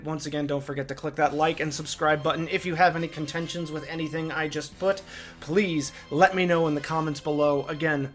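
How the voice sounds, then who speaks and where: A man hosting a video essay, giving opinionated commentary in an emphatic, enthusiastic fan's delivery. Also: a little high.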